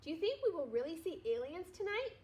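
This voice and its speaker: An excitable young boy from a children's storybook, acted out by a woman reader. The voice sits high and jumps into dramatic exclamations. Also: brisk, dull audio. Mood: chatty.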